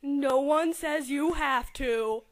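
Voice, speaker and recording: A woman's voice: high voice, slow. A woman voicing earnest, hesitant members of a teen support group in an amateur dramatic reading. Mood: patient.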